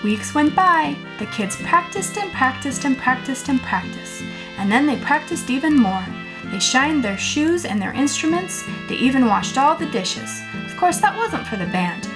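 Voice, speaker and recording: Female storybook reader, higher register, very animated swings, expressive emphasis, music underneath. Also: moderate pace, low-quality recording. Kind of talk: animated read-aloud narration. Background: music with plucked guitar.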